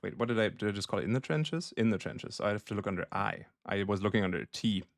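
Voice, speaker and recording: A man's voice: on the low side, fast talker, vocal fry, no room sound, clean studio sound. A man from Germany thinking aloud in a slangy, casual way as he demos a music plugin on a livestream, like a producer at work. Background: synthesizer sounds and music.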